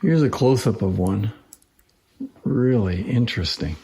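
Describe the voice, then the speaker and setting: A man's voice: on the low side, lively intonation, measured pace, mellow. A man giving a casual, unscripted hands-on demonstration as a presenter, speaking informally and with interest. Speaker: presenter demonstrating antique phonograph toys. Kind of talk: casual hands-on show-and-tell. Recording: roomy echo, faint background noise.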